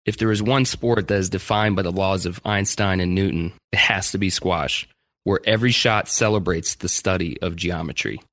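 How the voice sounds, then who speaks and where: A man's voice: deep voice, normal speed, fairly bright tone, dry recording. A man hosting an interview who asks long, enthusiastic questions and often restarts his sentences. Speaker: podcast host. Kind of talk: interview host.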